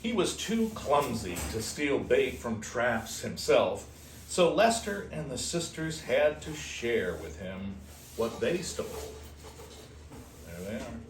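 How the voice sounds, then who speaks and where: Guy reading a kids storybook out loud, real animated, takes his time, bit distant and echoey. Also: medium pitch. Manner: unhurried, animated, playful. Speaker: host reading a picture book aloud to shelter kittens. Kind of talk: expressive children's storybook reading with casual asides. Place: indoor room at an animal shelter. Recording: roomy, distant mic with faint noise.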